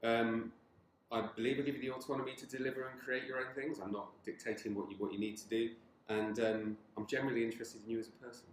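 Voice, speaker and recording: A man who is head of people, answering interview questions in a relaxed, jokey way. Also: mid-range voice, fast. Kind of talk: workplace interview. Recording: roomy office with faint noise.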